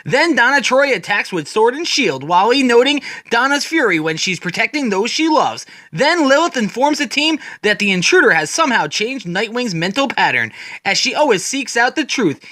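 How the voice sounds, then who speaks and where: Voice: medium pitch, very animated, moderate pace, bright, forceful tone, dry recording. A person giving a dramatic, scripted narration as a storyteller, retelling comic book scenes in the present tense.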